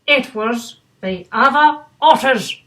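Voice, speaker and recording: A woman's voice: sits high, takes it slowly, fairly bright tone. An older woman reading a children's bedtime story aloud with doting, grandmotherly asides. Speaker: storybook reader.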